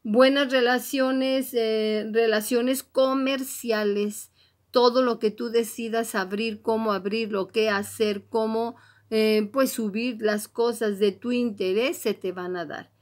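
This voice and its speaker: High-voiced woman giving an encouraging card reading. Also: steady tone, slow pace. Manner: encouraging, exhorting, repeats urgings. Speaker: tarot and oracle card reader, host. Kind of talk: motivational horoscope card reading to the listener.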